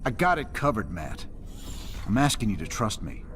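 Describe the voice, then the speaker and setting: A man playing a stern, world-weary outlaw commander in a video game, talking in a terse, gruff, folksy manner. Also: fairly low pitch, sing-song, moderate pace, fairly bright tone, soft background music. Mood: firm. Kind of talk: dramatic video game dialogue.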